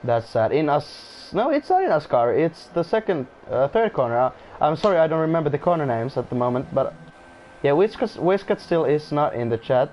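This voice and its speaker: A man's voice: on the high side, very animated, a little fast, darkish tone, a little hiss or hum. A man who is not a native English speaker commentating casually on an online race, often restarting sentences and apologizing. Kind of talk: casual live sim-racing commentary.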